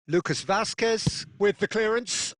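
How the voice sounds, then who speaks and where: A man's voice: sits high, unhurried, a bit bright. A man voicing a football video game's play-by-play commentator, with dramatic, punchy phrasing. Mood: engaged, dramatic.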